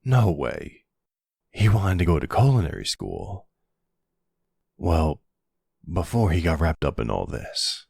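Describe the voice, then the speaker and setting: Guy doing a smooth talking older mafia boss, super low husky voice, slow and teasing, asmr roleplay. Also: big pitch swings, darkish tone, no echo, clean studio sound, full character voice. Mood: teasing, flirtatious.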